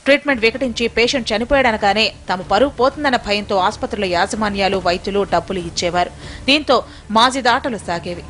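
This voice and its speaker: A woman's voice: medium pitch, fairly quick, fairly bright tone, a little hiss or hum. A woman reading a scripted news voice-over in a dramatic, emphatic manner. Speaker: news reporter doing voice-over.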